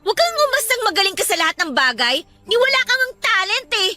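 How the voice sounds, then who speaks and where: A woman's voice: very high-pitched, big pitch swings, fast talker, bright, forceful tone, exaggerated voice. A woman playing a defensive, resentful student snapping sarcastically at a best friend in a radio drama. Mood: defensive, sarcastic. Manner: sarcastic, confrontational. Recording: music bed under the voice.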